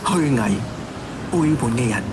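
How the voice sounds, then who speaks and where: Man acting animated, cynical Cantonese serial killer. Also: medium pitch, measured pace, noisy background, lightly acted. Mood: cynical, preaching. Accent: Hong Kong Cantonese. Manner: theatrical taunting monologue with scornful laughs. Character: unrepentant male serial killer, self-styled executioner, cynical, fanatical. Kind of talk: radio drama acting.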